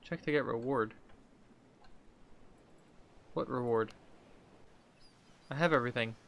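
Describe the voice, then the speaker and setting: A man's voice: mid-range voice, slow pace, vocal fry, muffled recording. A man in his thirties giving casual, slangy let's play commentary, reacting and thinking aloud as he plays. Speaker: gaming video host. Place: indoor room, seated at a computer. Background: game music.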